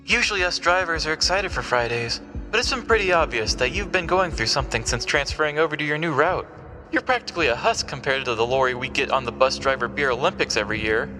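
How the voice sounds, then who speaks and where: A man voicing a kind, supportive woman bus driver in a comic audio story, speaking gently and with concern. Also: a little high, lively intonation, fast, over music, lightly acted. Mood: gentle concern.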